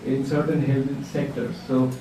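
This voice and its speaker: A man from India speaks up in a group discussion, halting and full of fillers. His voice is dark and mellow, picked up from a distance in a very echoey room with faint background noise. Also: mid-range voice, little pitch movement. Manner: hesitant, many fillers and restarts. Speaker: workshop participant. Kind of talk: spoken contribution in a group discussion.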